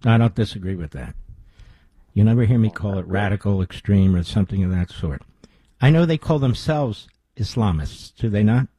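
A male radio host with a low, somewhat husky voice. He asks probing questions in an easy, conversational manner. His voice has a dark, mellow tone in a dry studio sound. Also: animated, normal speed, dry recording. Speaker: radio talk show host. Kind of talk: radio interview.

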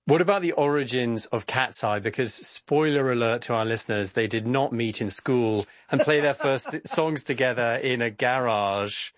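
A man's voice: medium pitch, normal speed, few pauses, no echo. A man co-hosting a podcast, asking the guest curious, guiding questions. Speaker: podcast co-host.

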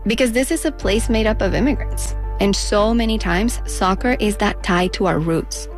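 A woman's voice: mid-range voice, normal speed, faint music behind. Reflective woman narrating a personal essay. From United States. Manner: reflective, intimate storytelling. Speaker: narrator and reporter.

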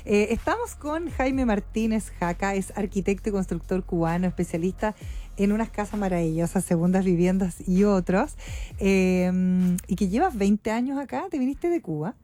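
A woman's voice: medium pitch, expressive, normal speed, dark tone. A woman hosting a radio talk show, chatting and leading the questions. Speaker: radio host.

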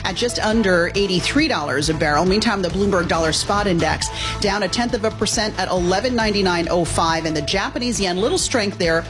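A woman anchor giving a quick market news update, reading figures in a brisk, even way. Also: on the low side.

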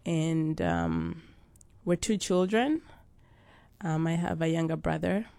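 Young woman from Uganda, interview guest, unhurried and gravelly, dry close sound. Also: sits low, very animated, dark tone, dry recording. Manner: slow, thoughtful storytelling with fillers. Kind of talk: interview about life and faith.

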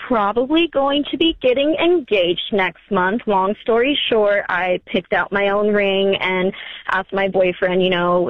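A woman's voice: fairly high pitch, no echo. A woman in her early twenties calling in to a radio advice show, explaining her situation conversationally in a hesitant, rambling way. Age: early 20s. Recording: tinny narrow-band phone line.

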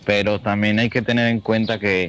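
Low flat-voiced male caller. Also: moderate pace, slight background noise. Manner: easygoing, blunt. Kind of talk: phone-in comment.

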